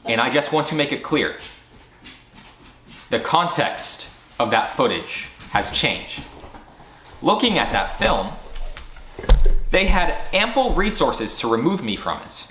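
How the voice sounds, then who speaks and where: A man's voice: mid-range voice, pushed and bright, slight background noise. Earnest man in a roomy, echoing space. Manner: earnest, firm and direct. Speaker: studio founder. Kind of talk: year-end recap monologue. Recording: roomy echo.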